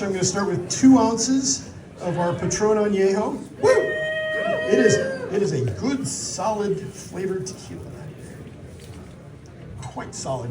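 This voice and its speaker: Soft-voiced, high-pitched man presenting in echoey space. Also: noisy background. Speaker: cocktail contestant. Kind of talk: live demonstration to an audience. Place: live contest stage with audience. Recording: echoey distant mic, crowd chatter behind.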